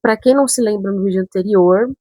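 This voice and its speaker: A chatty young woman from São Paulo tells a story straight to camera. Her speech carries touches of a northern Brazilian accent. Her voice is a little husky and breathy, and she jumps into animated asides. The sound is close and dry, with no room echo. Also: medium pitch, fast talker. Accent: northern Brazilian touches mixed with São Paulo speech. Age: 20s. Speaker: vlogger.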